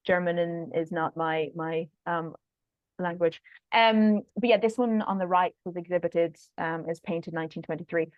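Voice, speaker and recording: A woman researcher answering questions informally at an online talk, halting and apologetic, often repeating words, over a dull call connection. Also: lowish voice, dry recording. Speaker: guest speaker, researcher. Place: online video call, close dry sound.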